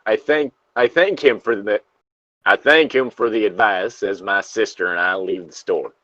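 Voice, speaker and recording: A man's voice: on the low side, normal speed, bright, forceful tone, no echo, lo-fi sound. A man reading a story aloud casually, with profane, self-interrupting asides and banter as a co-host. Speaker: co-host reading and riffing on internet horror stories.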